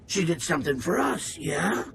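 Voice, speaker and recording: A man voicing an excitable, cheeky, slangy alien hacker in a game cutscene. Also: fairly high pitch, moderate pace, gravelly, slight background noise, heavily acted. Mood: sly, interested. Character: excitable, jokey alien tech-junkie criminal hacker.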